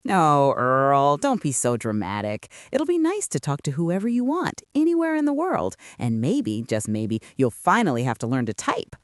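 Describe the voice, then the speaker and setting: A woman playing a sensible, teasing 1970s wife in a scripted comic skit, gently chiding. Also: low voice, very animated, moderate pace, hardly any pauses, no room sound, studio quality.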